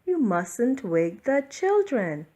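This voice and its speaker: A woman voicing a gently scolding storybook creature who shushes and gives earnest, hushed warnings. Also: on the high side, big pitch swings, slow pace, voice pushed up, full character voice. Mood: urgent hushing. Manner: breathy whispered shushing, then earnest warning. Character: hushing storybook animals and snowman, gently scolding Santa.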